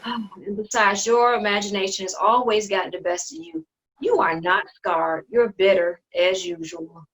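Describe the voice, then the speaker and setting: A woman's voice: mid-range voice, moderate pace, a bit bright, close to an everyday voice, low-quality recording. A woman acting a haughty, dismissive sister with a mocking, condescending delivery in a dramatic play reading. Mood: exasperated, dismissive.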